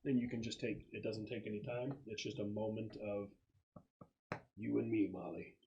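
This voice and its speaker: Man, low voice, game master, casual narration, halting, thinking aloud. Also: steady tone, mellow, muffled recording, slight background noise. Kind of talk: casual tabletop game narration and rules talk.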